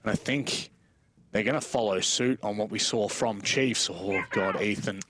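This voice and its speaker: A man's voice: fairly low pitch, a little slow, noisy background. A man giving live play-by-play commentary on an esports match. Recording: game gunfire and clicks behind. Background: video game gunfire and clicks.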